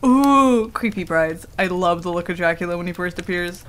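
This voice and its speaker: A woman's voice: lowish voice, expressive, moderate pace, a bit bright. A woman playing a prickly, easily flustered tsundere werewolf in a casual ASMR roleplay, with a defensive, stammering manner. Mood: enthusiastic. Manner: casual, defensive, stammering when embarrassed, swears. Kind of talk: intimate ASMR roleplay acting. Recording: clearly audible background noise.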